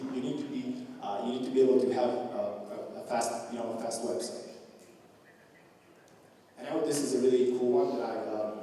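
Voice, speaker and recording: A man's voice: mid-range voice, dark tone, a little hiss or hum. A young man from Romania giving a conference talk, loose and full of fillers and restarts. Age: young adult. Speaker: conference speaker. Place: large conference hall. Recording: echoey hall recorded from a distance.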